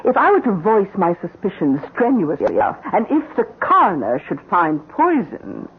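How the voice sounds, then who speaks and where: An actress playing a scheming middle-aged housekeeper in an old-time radio mystery, with deliberate, insinuating, theatrical phrasing. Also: female voice, medium pitch, wildly swooping pitch, slight background noise. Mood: smug, confiding. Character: scheming middle-aged housekeeper, coolly blackmailing her employer. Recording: muffled old radio recording.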